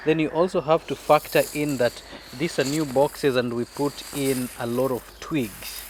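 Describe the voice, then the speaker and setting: A man's voice: mid-range voice, fairly even intonation, measured pace, a little hiss or hum, poor audio. A man with a Kenyan accent chatting casually outdoors as he co-hosts a family garden vlog.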